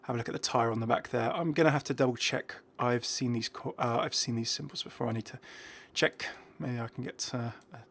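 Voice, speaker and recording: A man with a medium-pitched voice hosts a gaming video. He talks casually and off the cuff, chatting through what is on screen. His delivery is a little hesitant as he reacts and thinks aloud. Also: steady tone, fairly quick, creaky, hardly any pauses, fairly bright tone. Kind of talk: casual unscripted game commentary.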